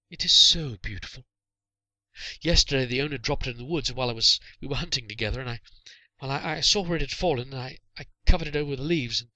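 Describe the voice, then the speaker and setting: Man voicing a stammering, high-pitched frightened boy. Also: bright, forceful tone, dry recording, low-quality recording. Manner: stammering, pleading, halting. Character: frightened, guilt-ridden medieval boy caught stealing.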